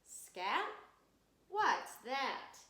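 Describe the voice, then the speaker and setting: A woman's voice: high voice, very animated, very slow, sharp tone, reverberant, exaggerated voice. A woman voicing a curious, eager young girl who is learning to scat. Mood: puzzled.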